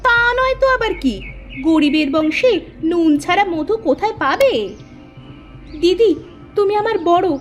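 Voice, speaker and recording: Young daughter-in-law, very high voice, plaintive, earnest, put-upon, cartoon drama. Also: female voice, expressive, a little slow, long pauses, soft background music. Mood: hurt, defiant. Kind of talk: cartoon family drama acting.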